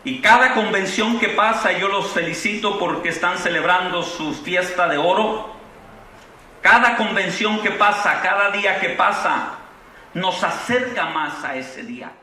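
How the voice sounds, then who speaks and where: A man's voice: high-pitched, a little slow, slight background noise. A middle-aged Mexican man with a Mexican Spanish accent, preaching a sermon at a convention, moving from fervent proclamation to easygoing anecdotes. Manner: impassioned preaching with rising exclamations, then relaxed storytelling. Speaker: pastor. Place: large convention hall. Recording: echoey hall from far off.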